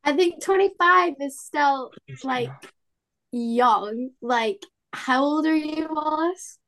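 A young woman of about twenty with a high voice. She talks casually and candidly as a guest in a group chat, without any pretence. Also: slow pace, a bit bright, slight background noise, low-quality recording. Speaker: interview guest, young farmers club member.

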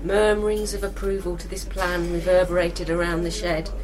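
A woman's voice: on the low side, flat delivery, moderate pace, low-quality recording. A British woman narrating a scripted story as a storyteller.

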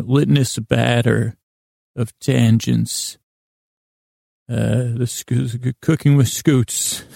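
A man hosting a bedtime podcast in a rambling, tangential monologue, with a creaky, gravelly voice and a halting, self-deprecating manner that often stammers and restarts words. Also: medium pitch, dark tone, dry recording, studio quality. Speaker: host of a bedtime sleep podcast.

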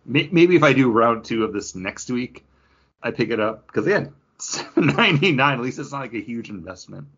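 A man's voice: mid-range voice, big pitch swings, brisk, fairly bright tone, slightly rough. A man co-hosting a podcast, chatting in a casual, rambling, conversational way. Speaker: podcast co-host. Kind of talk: casual chat about comics and beer. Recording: dull, lo-fi podcast audio.

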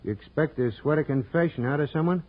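A man playing a gruff, exasperated police sergeant in a 1940s radio drama, grumbling and sardonic. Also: on the high side, fast. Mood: sardonic, annoyed. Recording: dull old radio recording.

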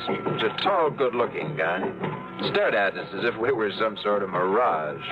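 A wisecracking pilot-adventurer. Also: male voice, fairly low pitch, very animated, moderate pace. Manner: dry, wisecracking. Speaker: narrator and lead character. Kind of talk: 1950s radio drama acting and narration. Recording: dull vintage radio broadcast with loud music.

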